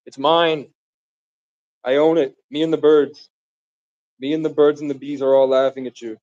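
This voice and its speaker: A man's voice: fairly high pitch, little pitch movement, normal speed, a little mellow, no room sound, muffled recording. A man from the Bronx, raised in Vermont, rambling grandly and casually to his viewers on a live stream. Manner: grandiose, meandering, casual slang. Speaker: livestreamer.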